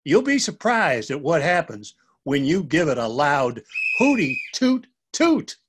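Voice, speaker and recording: Man as a slow-talking wise owl teacher. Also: fairly high pitch, very animated, slightly rough, heavily acted. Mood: mysterious, encouraging. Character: wise, kindly male owl schoolteacher.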